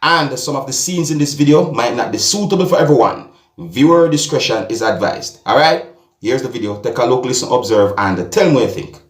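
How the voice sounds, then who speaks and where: A Jamaican man commenting on video clips for his vlog, talking deliberately and addressing his viewers over and over. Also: medium pitch, expressive, echoey room. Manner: repetitive, addresses "viewers and subscribers", slow and deliberate. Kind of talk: casual commentary.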